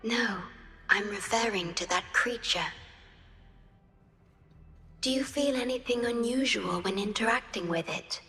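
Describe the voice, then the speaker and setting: A composed, protective woman researcher from a video game. She speaks precisely and formally in a bright voice. The sound is echoey, with music behind her. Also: a little high, measured pace, pauses often. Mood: calm, attentive. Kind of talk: scripted game character acting.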